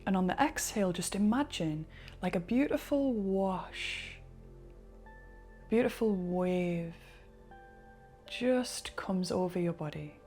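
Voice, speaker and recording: A woman leading a guided relaxation, speaking slowly and softly, sometimes dropping into a whisper. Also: medium pitch, animated, soft background music. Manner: slow, soft-spoken, partly whispered. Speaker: meditation guide.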